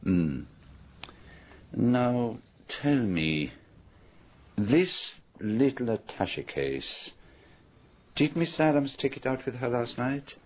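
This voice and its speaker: An actor playing a courteous, probing foreign detective in a radio drama, with formal foreign phrasing and deliberate questions. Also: male voice, medium pitch, sing-song, husky, heavily acted. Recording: dull, muffled radio drama recording.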